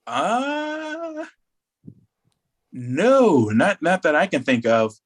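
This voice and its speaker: A man chatting casually as a podcast co-host, rambling in an animated way and acting out imagined remarks. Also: high-pitched, very slow.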